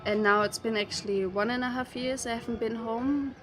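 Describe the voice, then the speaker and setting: A young woman from Germany, talking casually to camera about her life, with pauses and fillers. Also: on the high side, fairly even intonation. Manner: thoughtful, unhurried, with frequent pauses and fillers. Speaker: travel vlogger. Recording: music playing underneath.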